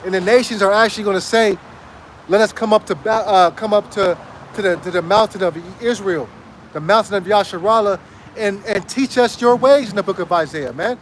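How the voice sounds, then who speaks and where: Street preacher, man, high voice, hoarse, emphatic and repetitive, faint traffic behind. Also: a little fast. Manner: emphatic, repeats phrases and asks "right?". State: hoarse, losing his voice. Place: outdoors on a city street.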